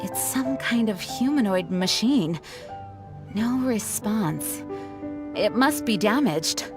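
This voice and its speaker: A dutiful, vigilant young knight commander from a video game. Her mid-pitched voice is measured and formal, earnest in delivery, over faint music. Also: lively intonation. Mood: analytical. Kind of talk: video game character acting. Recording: music behind the voice.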